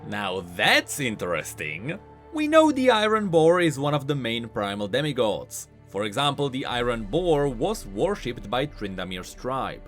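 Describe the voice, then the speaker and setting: Male host for game lore explainer: lively intonation, emphatic, faint music behind. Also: mid-range voice, measured pace. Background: faint background music.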